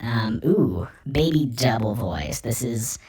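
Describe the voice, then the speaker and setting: An oversized baby played by a man through a voice changer chats casually. The voice is artificially pitch-shifted, in a loose demo style. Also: animated, takes it slowly, darkish tone, husky. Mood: casual, musing.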